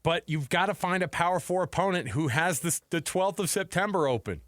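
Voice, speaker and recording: A man's voice: fairly high pitch, brisk, a bit bright, no room sound, studio quality. An American man hosting a sports show in casual, colloquial back-and-forth talk.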